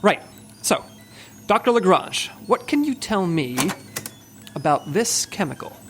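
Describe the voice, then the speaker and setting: An officious spy team leader. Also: male voice, sits high, big pitch swings, unhurried, music in the background. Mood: brisk, organizing. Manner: businesslike, literal-minded. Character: officious, earnest male spy team leader giving a briefing. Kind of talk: comedic audio drama dialogue.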